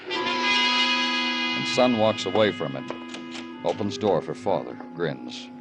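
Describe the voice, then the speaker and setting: An actor playing a weary, poetic police detective in 1950s radio noir, with clipped, lyrical phrasing. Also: male voice, on the low side, huge pitch swings, slow pace, full character voice. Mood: brooding. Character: weary, poetic hard-boiled New York police detective. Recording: vintage radio drama, music behind voice.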